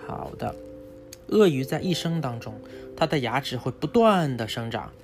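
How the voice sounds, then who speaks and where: A man's voice: medium pitch, expressive, normal speed. Dad teaching his kid stuff, casual, step by step with lots of little check-in questions, guitar music behind. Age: adult, father of a young child. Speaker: father and host teaching his child about animals. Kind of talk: casual educational chat with a child, explaining from a book.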